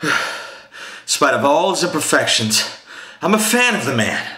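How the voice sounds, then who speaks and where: A man's voice: on the high side, very animated, normal speed, sharp tone. A man performing a dramatic monologue as the Devil, a gleeful, scornful tempter who rants theatrically and emphatically against God, sly and confiding or roaring. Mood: impassioned, boastful. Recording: roomy, echoing amateur room recording.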